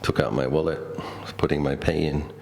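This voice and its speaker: A middle-aged Australian man, a Buddhist monk, giving a conversational, reflective dhamma talk built on personal stories, with thoughtful pauses. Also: extremely low pitch, fairly even intonation, gravelly, a little mellow.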